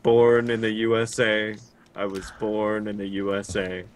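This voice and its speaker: A fairly low-voiced man delivers song lyrics as grave spoken poetry over voice chat. His recital is earnest and dramatic, with faint noise underneath. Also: monotone, unhurried. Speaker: gamer on voice chat.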